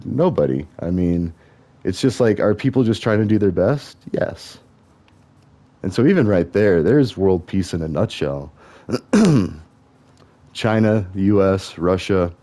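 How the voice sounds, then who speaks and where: A young man with a deep, mellow voice talks on and on while playing a game. His thoughts wander from one tangent to the next in a loose, musing way. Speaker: gaming vlogger.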